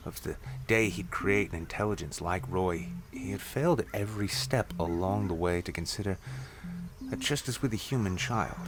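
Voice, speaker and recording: Deep-voiced male story narrator. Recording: clear ambient noise behind.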